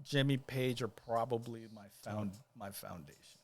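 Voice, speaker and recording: A man's voice: medium pitch, normal speed. A man talking casually as a guest on a podcast, easygoing and rambling, with plenty of fillers and restarts. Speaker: guest, judicial candidate.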